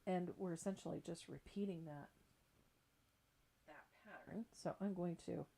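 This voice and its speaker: A woman's voice: fairly low pitch, a little slow, creaky, dark tone, breathy edge. A middle-aged woman giving a casual, chatty live craft tutorial in an informal way. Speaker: craft painting instructor and livestream host. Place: indoor craft table.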